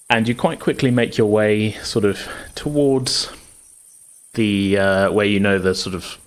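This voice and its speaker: A man running a tabletop game as game master, narrating casually in hesitant, conversational phrasing. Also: on the low side.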